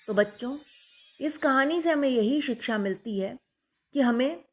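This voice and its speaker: Woman storyteller, high voice, faint chime music underneath; bedtime narration for kids. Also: expressive, measured pace. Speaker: children's storyteller.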